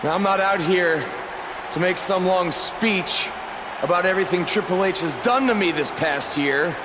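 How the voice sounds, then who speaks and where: A man playing a defiant underdog wrestling champion giving a challenging promo in a video game, amid a loud arena. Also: high-pitched, natural voice. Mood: grim resolve. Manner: deliberate, challenging. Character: defiant underdog male pro wrestling champion seeking revenge. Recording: loud arena crowd and noise.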